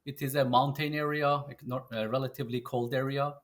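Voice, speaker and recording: A middle-aged man from Iran, a Turkish speaker, answering interview questions in halting English with many repeated "yeah"s. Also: mid-range voice, level tone. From Tabriz, northwestern Iran. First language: Azeri Turkish. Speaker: guest.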